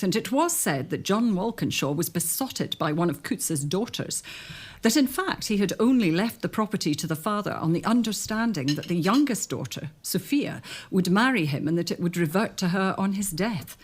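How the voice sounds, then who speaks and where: An actress playing a genteel sister-in-law in a period audio drama, who talks down gently and coaxingly. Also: female voice, on the low side, lively intonation, moderate pace. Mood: gossipy.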